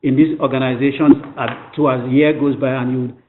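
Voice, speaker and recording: A man speaking as an investment executive on a conference call, formal and halting, often repeating his phrases. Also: medium pitch, little pitch movement, a little hiss or hum. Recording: tinny narrow phone line.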